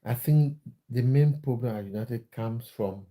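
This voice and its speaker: A man's voice: medium pitch, a little slow, warm tone. A man from Cameroon hosting a casual livestream, reading viewer comments aloud and answering them with plenty of fillers and repeated words. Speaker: livestream host answering chat. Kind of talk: casual livestream chat about football.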